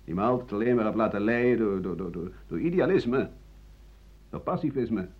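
An aggrieved older doctor, bitter and defiant, in a radio play monologue. His husky voice swings widely between low and heated tones. The recording is a dull old radio broadcast. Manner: aggrieved, emphatic monologue with scornful chuckles. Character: embittered older French doctor-writer accused of treason, defiant.

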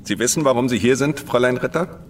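An actor playing a cold, formal, threatening interrogator in a German radio drama, heard at some distance from the microphone. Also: male voice, medium pitch, fast, a little hiss or hum, lightly acted. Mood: formal menace.

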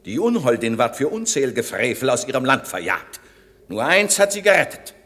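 An actor playing a stern, commanding magician master in a classic German radio drama, speaking verse in a solemn, imperious, deliberate manner. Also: male voice, medium pitch, very animated, fairly bright tone. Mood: stern, reproachful.

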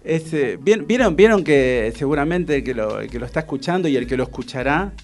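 A man's voice: a little high, lively intonation, brisk, darkish tone, faint music behind. A man with an Argentine accent hosting a casual, conversational radio interview.

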